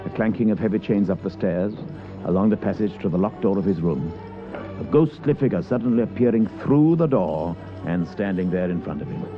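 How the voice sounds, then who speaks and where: Deep-ish guy narrating a dramatic tale, muffled old radio recording, music under him. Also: animated, a little fast.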